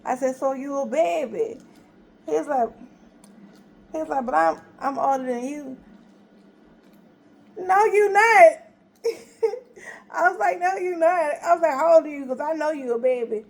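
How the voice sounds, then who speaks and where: A woman's voice: sits high, unhurried, gravelly, pauses often. A woman casually telling a story, acting out both sides of a conversation with laughter and exasperation. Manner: animated retelling, acts out both sides with quoted dialogue.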